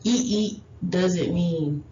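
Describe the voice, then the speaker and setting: A woman whose first language is Haitian Creole gives parenting advice in a loose, rambling presentation. Her low voice moves slowly, full of ums and restarts. The recording is dull and lo-fi, with a faint hiss underneath. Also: warm tone. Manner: rambling, many fillers and restarts. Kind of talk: informal class presentation.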